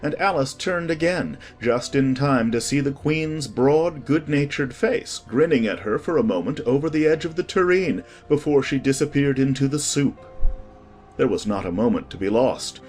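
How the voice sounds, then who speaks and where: A man narrating a scripted storybook in an expressive, deliberate way. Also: medium pitch. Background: music.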